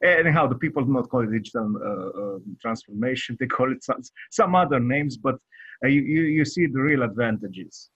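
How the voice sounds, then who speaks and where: Romanian-accented male guest, husky and hesitant, on dull dry remote audio. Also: medium pitch, animated, a bit bright, dry recording. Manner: halting, many hesitations and restarts. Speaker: guest developer. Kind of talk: interview answers.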